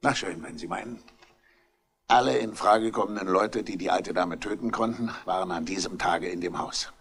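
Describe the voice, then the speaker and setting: Pedantic police inspector, gravelly, a bit low, 60s radio crime drama, clipped. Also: male voice, normal speed, fairly bright tone. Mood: fussy, flustered. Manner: clipped, pedantic, sometimes flustered. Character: fussy, obliging police inspector reporting from files. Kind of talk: 1960s German radio mystery acting.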